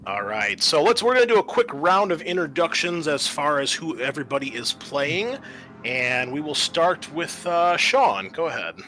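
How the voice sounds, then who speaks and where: Bright, forceful man hosting a game show. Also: on the high side, very animated, moderate pace, faint music behind. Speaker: host and game master of an online show. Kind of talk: casual show introduction, chatting with players.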